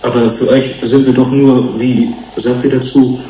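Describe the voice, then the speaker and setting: An actor playing a bitter, estranged male fish-human hybrid in a German radio drama, speaking deliberately and accusingly. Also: medium pitch, little pitch movement, warm tone, far from the mic, lightly acted. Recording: roomy echo, background noise.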